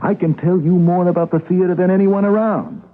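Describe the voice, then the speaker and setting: An actor playing a self-justifying stage manager under suspicion in a radio mystery, agitated and imploring. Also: male voice, high-pitched, steady tone, brisk, close to an everyday voice. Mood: boastful, self-justifying. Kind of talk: radio mystery drama acting. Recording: muffled lo-fi radio broadcast.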